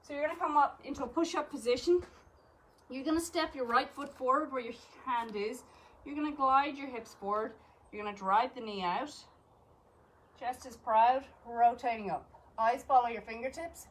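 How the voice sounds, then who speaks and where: A woman's voice: fairly high pitch, moderate pace, poor audio. A woman with an Irish accent teaching a live exercise class, cueing each movement and counting reps with encouragement. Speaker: fitness instructor.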